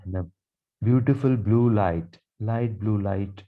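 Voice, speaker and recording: A man guiding a meditation with affirmations in a slow, calm, soothing delivery with long pauses. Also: lowish voice. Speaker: meditation guide, Reiki teacher. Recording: dull, muffled lo-fi sound.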